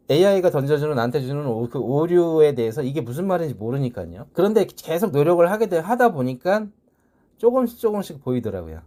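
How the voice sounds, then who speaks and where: A man's voice: a little high, warm tone. A man presenting a casual tutorial, explaining things step by step in polite speech. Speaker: tutorial presenter, salesman who codes.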